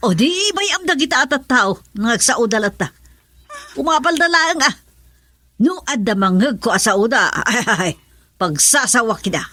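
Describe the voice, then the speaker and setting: A pushy mother from an Ilocano radio drama, with an Ilocano accent. Her voice is fairly high and recorded dry. She nags and insists, always scheming. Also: very animated, normal speed, sharp tone, no room sound, exaggerated voice. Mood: nagging, insistent. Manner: nagging, insistent. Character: pushy, scheming mother urging her daughter to marry money.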